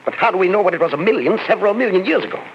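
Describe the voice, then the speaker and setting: Grave professor, measured, speculative, lively pitch, old radio drama, dull recording. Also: male voice, noisy background. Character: grave middle-aged male professor, theorizing calmly. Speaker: Professor Martell. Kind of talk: 1940s radio acting.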